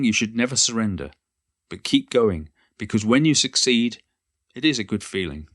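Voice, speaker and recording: A low-voiced, wry elderly poet. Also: male voice, fairly bright tone, no echo, studio quality. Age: older. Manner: modest, wry, reflective. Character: elderly Cornish male poet and former schoolteacher reminiscing, modest and wry. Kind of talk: reflective first-person monologue, read from a book.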